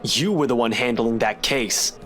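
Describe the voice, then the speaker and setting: A man's voice: mid-range voice, a little slow, a bit bright, close to an everyday voice. Dry, sardonic male investigator played by an actor. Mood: frustrated. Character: young skeptical, wry male investigator. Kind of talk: visual novel game voice acting.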